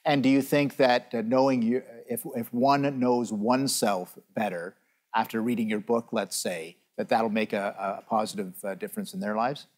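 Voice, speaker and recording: Interviewer, man with a lower voice, slow to get his questions out, lots of pauses. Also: fairly even intonation, a little mellow. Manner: hesitant, filler-heavy questioning.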